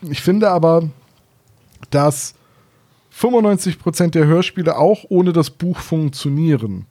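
A man co-hosts a casual podcast. His voice sits a little high for a man, with a very mellow, rounded tone. He talks conversationally, as in a relaxed retelling. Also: unhurried. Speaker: podcast co-host.

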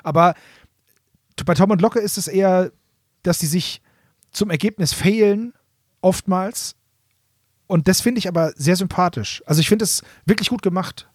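A man's voice: sits high, moderate pace, pauses often, no room sound, clean studio sound. A man co-hosting a podcast in informal, casual chat, rephrasing his thoughts as he goes. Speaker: podcast co-host. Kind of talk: casual chat about nostalgic audio dramas.

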